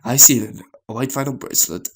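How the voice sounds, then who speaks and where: A man reading a visual novel story aloud as a gaming host, in a halting way with stumbles and repeated phrases. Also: mid-range voice, husky.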